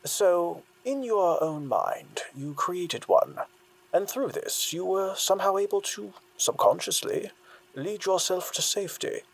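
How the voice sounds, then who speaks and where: A man playing a measured, analytical therapist in an audio drama, husky and deliberate in explanation. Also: high-pitched, lively intonation, full character voice, low-quality recording. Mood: measured, reassuring. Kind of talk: audio drama dialogue acting.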